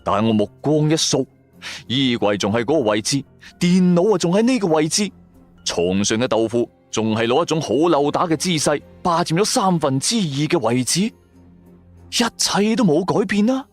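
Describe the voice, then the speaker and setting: A man's voice: on the high side, lively intonation, moderate pace. A man with a Cantonese accent narrating a first-person audio drama in a colloquial, theatrical storytelling style. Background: background music.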